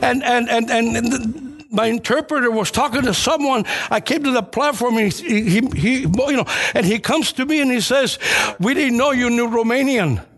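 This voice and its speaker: A man's voice: very high-pitched, normal speed, fairly bright tone. A middle-aged or older man preaching a church sermon as personal testimony, telling it conversationally and repeating phrases for emphasis. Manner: conversational storytelling.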